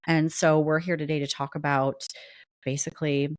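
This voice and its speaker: A woman co-hosting a podcast, her voice unusually low for a woman. She talks things through steadily and casually. The recording is dry, with no room sound. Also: flat delivery, normal speed, no echo. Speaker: podcast co-host.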